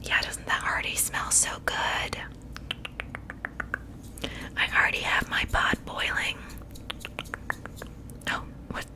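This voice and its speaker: Woman as a whispering, sweetly sinister witch. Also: natural voice. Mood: soothing, gleeful. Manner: soothing, falsely reassuring, unhurried. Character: sweetly menacing witch seasoning her captive for stew. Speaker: ASMR roleplay performer. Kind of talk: whispered ASMR roleplay.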